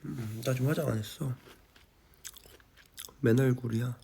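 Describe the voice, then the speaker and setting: A young man in his twenties talks at an ordinary mid pitch, sounding a little tipsy and drowsy after a long day. He chats casually and softly into a phone livestream from home, reading viewer comments. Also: steady tone, moderate pace, gravelly, slight background noise. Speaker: idol livestreamer. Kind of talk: casual late-night livestream chat. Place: at home, indoors.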